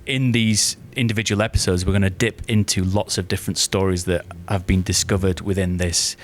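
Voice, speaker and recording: A man with a fairly low voice talks as an interview guest about his creative work. His delivery is enthusiastic and conversational, like a theatre writer and performer explaining a project. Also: fairly even intonation, brisk, slight background noise.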